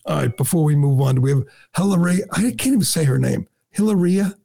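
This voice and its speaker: A man's voice: on the high side, lively intonation, quick pace, creaky, dark tone. A middle-aged man hosting a talk radio show, delivering a sarcastic, mocking monologue full of "uh" fillers and restarts.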